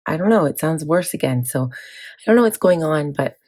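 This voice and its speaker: A woman in her thirties, hoarse from laryngitis, chatting casually about her planner with many fillers. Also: low-pitched, animated, normal speed, darkish tone. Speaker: planning vlogger. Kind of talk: casual chat walking through a planner.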